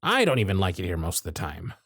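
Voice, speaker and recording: A man voicing a jaded, century-old male workshop supervisor who is gruff, weary, sardonic and blunt, in an ASMR roleplay with close miking. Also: low voice, wildly swooping pitch, fast talker, a bit bright, no room sound, exaggerated voice. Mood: bitter, exasperated. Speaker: voice actor in audio roleplay.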